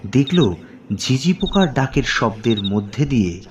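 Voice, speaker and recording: A man narrating a scripted horror story dramatically, in deliberate, suspenseful phrases with pauses. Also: mid-range voice, lively intonation, lo-fi sound, noisy background.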